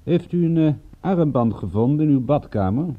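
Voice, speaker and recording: A man's voice: a little high, expressive, moderate pace, phone-quality sound, close to an everyday voice. An actor playing a wry, teasing male private detective with dry banter in a radio drama. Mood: businesslike. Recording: band-limited old radio play recording.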